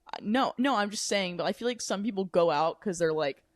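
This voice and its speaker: A young woman with a deep voice for a woman, chatting casually and filling her talk with "like" and casual swearing. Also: lively intonation, fairly quick, no room sound, studio quality. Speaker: podcast guest. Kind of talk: casual chat. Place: apartment room.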